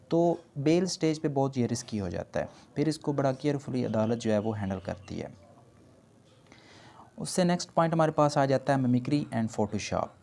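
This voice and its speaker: A man's voice: medium pitch, creaky, warm tone. A man with a Pakistani accent giving an explanatory law lecture, reading points aloud carefully and explaining them conversationally. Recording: noticeable background noise.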